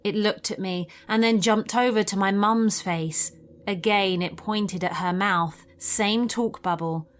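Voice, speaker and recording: A woman's voice: mid-range voice, measured pace. A woman reading a scripted first-person story as a narrator of scary tales. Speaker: narrator of listener-submitted scary stories.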